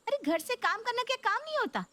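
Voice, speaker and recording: A woman's voice: very high-pitched, big pitch swings, fast talker, a bit bright, exaggerated voice. A woman voicing an exasperated, pleading young working daughter-in-law in a Hindi cartoon story. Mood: irritated.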